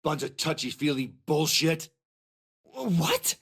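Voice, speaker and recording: A gruff gravelly hothead for an anime game, swings all over, music behind. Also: male voice, a little high, sing-song, slow pace, fairly bright tone, exaggerated voice. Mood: irritated disbelief. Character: brash, gruff, hot-tempered man of action. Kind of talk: dramatic anime game dub acting.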